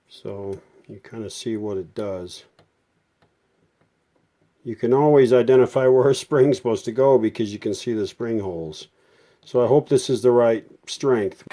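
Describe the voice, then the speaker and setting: A man's voice: mid-range voice, measured pace, pauses often, mellow. A man narrating a hands-on repair unscripted, thinking aloud in a folksy way. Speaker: hobbyist repairer presenting a how-to video.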